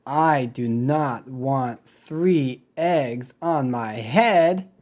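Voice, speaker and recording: A woman's voice: a bass voice, drawn-out words, a little mellow, pitched below the performer's own voice, exaggerated voice. A woman voicing a reluctant, fretful elephant in a picture book, with exasperated, dramatic delivery. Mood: dismayed.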